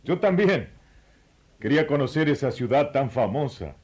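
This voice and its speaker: Male actor as royal treasurer, polite, inquisitive, inviting, animated pitch, slightly rough voice, radio drama. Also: moderate pace. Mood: courteous, curious. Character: courteous, curious Ethiopian royal treasurer, a traveling man. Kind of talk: radio play dialogue.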